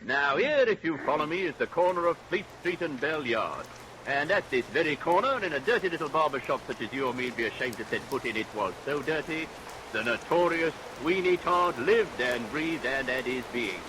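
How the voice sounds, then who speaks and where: A man's voice: a little high, expressive, normal speed, natural voice. An actor playing a Cockney London tour guide who relishes grisly tales in theatrical showman's patter. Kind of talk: vintage radio drama acting. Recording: vintage radio recording under rain noise.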